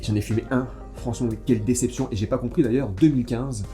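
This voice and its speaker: Fast-talking enthusiastic French man. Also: mid-range voice, faint music behind. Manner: enthusiastic, animated, many restarts. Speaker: podcast co-host. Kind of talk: casual chat about cigars.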